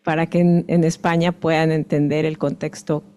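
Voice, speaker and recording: A woman in the audience asking a halting question, slipping in an English word. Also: low voice, flat delivery, warm tone. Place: library event room.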